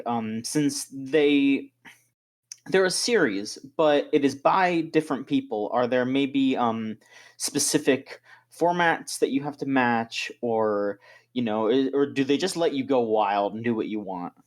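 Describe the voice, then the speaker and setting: A male podcast host asking his guest questions. He hedges and pauses, filling gaps with "um" and "you know." His voice is a plain mid-range man's voice. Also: mid-range voice. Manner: hesitant, hedging questions with fillers. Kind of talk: interview questions.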